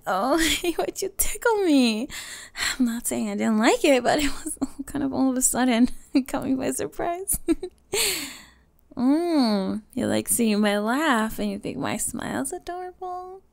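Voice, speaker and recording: A woman voicing a teenage goth bully with a secretly tender, flustered side, in an ASMR romance roleplay full of giggles and hushed confessions. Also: high-pitched, expressive, unhurried, voice pushed up, heavily acted. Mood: playful, teasing. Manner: breathy giggles, hushed confessions, playful sarcasm.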